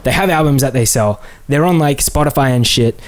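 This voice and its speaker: Male podcast co-host, mid-pitched, laid-back but keen, casual and sweary delivery. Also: brisk. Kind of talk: casual chat.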